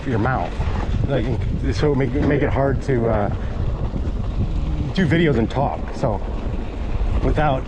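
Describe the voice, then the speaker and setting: A man vlogging excitedly while riding an ebike on dirt hills, talking into a chest-mounted action camera, rambling and swearing casually. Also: lowish voice. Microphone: GoPro chest mount camera. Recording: loud wind-buffeted action camera audio. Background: loud wind noise on the mic.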